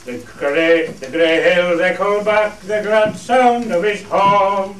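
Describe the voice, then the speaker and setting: A man's voice: high-pitched, slow pace. A man playing a jovial Cumbrian fell farmer in a radio play, with hearty rustic dialect, in a roomy recording. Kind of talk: radio play dialect acting with hunting song. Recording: roomy, distant stage recording, faint noise.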